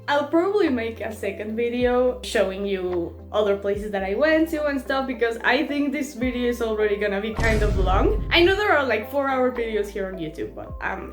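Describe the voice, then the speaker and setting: A fairly high-voiced young woman chats and giggles through a casual vlog, tripping over restarts as she goes. Her voice bounces around an echoey room, with soft music playing underneath. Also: expressive, normal speed, few pauses. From Mexico. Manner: chatty and giggly, with many restarts. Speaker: vlogger. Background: faint background music.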